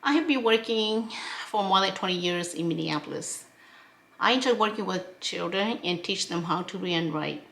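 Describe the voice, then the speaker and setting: A woman born in Laos, a teacher, introducing herself in a slow, careful way. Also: fairly low pitch, animated, creaky, fairly bright tone, low-quality recording. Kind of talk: self-introduction.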